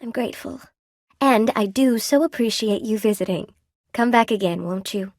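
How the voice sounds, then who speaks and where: An actress voicing a gentle, lonely spider-woman shopkeeper in a video game, polite and sweetly attentive, with old-fashioned genteel phrasing. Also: on the high side, moderate pace, no room sound, clean studio sound, natural voice. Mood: soft, reflective. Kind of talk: video game character voice acting.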